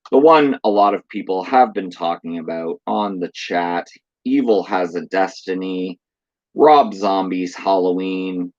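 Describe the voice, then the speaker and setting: Low-voiced man, hoarse, chatty horror collector. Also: a little mellow, muffled recording. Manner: reads box blurbs aloud in a chatty, offhand way. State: losing his voice. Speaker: channel host. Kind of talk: casual unboxing chat. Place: room at home.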